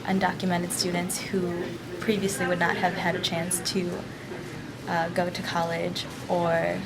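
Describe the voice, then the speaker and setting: A young woman from the Philippines answering interview questions in a measured way, with some fillers. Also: fairly low pitch, completely flat delivery, over a noisy crowd, poor audio. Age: young adult. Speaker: interviewee, student. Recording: loud outdoor background noise.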